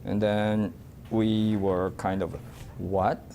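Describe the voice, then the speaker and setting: A man from the staff recounts events slowly. His voice is dark and mellow, and faint noise sits behind it. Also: fairly low pitch, little pitch movement. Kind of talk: interview answer.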